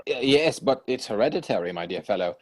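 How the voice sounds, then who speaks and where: A man acting a witty, idle young Victorian gentleman in a stage comedy reading, arch and teasing, recorded at home over a video call. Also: medium pitch, expressive, fairly quick, lightly acted. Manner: arch, teasing, mannered phrasing.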